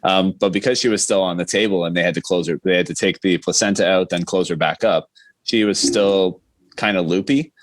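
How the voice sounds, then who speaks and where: Young male podcast guest, low-pitched, conversational storyteller, very dry sound. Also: steady tone, brisk, no room sound. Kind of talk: casual chat, personal storytelling.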